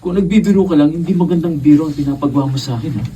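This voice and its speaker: An actor playing a decent, timid young college student in a radio drama, hesitant and earnest. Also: male voice, fairly high pitch, racing, warm tone, breathy edge, far from the mic. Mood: uneasy, nervous.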